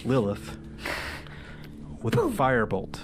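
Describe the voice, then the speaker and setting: Man, middle pitch, game master, casual improvised narration, noticeably noisy group recording. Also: wildly swooping pitch, slow pace.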